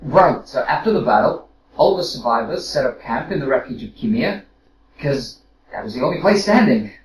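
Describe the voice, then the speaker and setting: An actor playing a casual, flustered robot soldier in an audio drama, hesitant and rambling, recorded roomily from a distance. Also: male voice, mid-range voice, lively intonation, normal speed, natural voice. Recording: roomy, distant mic with noise.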